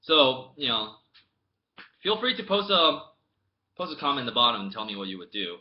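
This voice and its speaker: A young man from the United States, chatting casually to his camera as a vlogger. He tells his story in a rambling way with many restarts, and it swings from mumbled asides to loud outbursts. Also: medium pitch, animated, moderate pace, lo-fi sound. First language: English. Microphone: laptop webcam mic.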